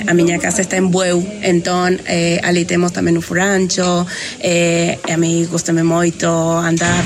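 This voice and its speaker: Brief: woman from Argentina, gravelly voice, halting with fillers, outdoor terrace with crowd chatter. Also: lowish voice. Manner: hesitant, many fillers. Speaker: language learner, Camino guide. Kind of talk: casual street interview.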